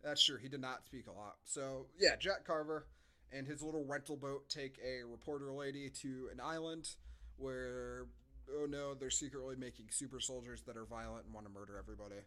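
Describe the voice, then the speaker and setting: Guy on a movie podcast, bright clear-cut voice, sarcastic and sweary, just chatting. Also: mid-range voice, a little fast. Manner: sarcastic, profane. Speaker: podcast co-host. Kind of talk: casual film review chat.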